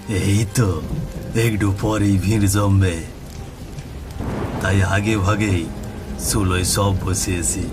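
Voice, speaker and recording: A man voicing an eerie, unhurried older ghostly food seller who coaxes slowly, in a horror audio story. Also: fairly low pitch, voice pushed down. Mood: sly, coaxing. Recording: music bed with rain and thunder.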